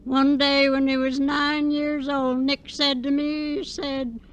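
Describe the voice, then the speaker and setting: A woman's voice: high-pitched, level tone, slow. An actress playing an elderly, folksy mother who rambles evasively in an old radio crime drama. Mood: wistful, rambling. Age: older. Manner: folksy, uneducated speech, evasive. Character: cagey, rambling elderly mother in a rocking chair. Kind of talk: 1950s radio drama acting. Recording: noisy old radio broadcast recording.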